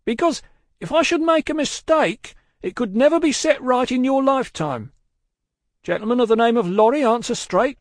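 A man voicing a gruff, suspicious mail-coach guard in a storybook, challenging and threatening in rustic dialect. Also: fairly high pitch, normal speed, no echo, studio quality, pitched above the performer's own voice. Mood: challenging, shouting. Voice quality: gruff, loud, roaring when calling out.

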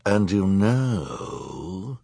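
A man's voice: on the low side, sing-song, measured pace, breathy edge, dry recording, clean studio sound, pitched above the performer's own voice, exaggerated voice. A man voicing a vain, scheming woman villain in a storybook, dramatic, indignant and imperious. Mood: menacing, imperious. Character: vain, theatrical, scheming adult female villain.

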